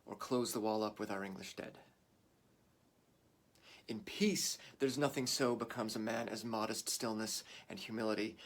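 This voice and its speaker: An actor playing a warrior English king rousing his troops in a declamatory, deliberate Shakespearean stage monologue. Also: fairly low pitch, full character voice. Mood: hushed, stirring. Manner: declamatory, deliberate, swinging from hushed to shouted.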